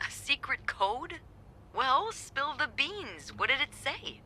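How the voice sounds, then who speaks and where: An actress playing a breezy, playful receptionist and nosy confidante in a video game. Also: female voice, high-pitched, sing-song, takes it slowly, pushed and bright, exaggerated voice. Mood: playful, intrigued. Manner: playful, conspiratorial.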